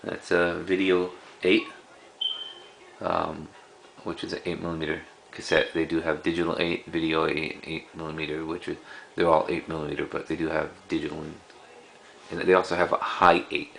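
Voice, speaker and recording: A man giving a casual, unscripted show-and-tell of a collection in a halting, rambling way. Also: rumbling low, level tone, creaky, a bit bright, slight background noise, rough recording. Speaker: cassette collector presenting a collection.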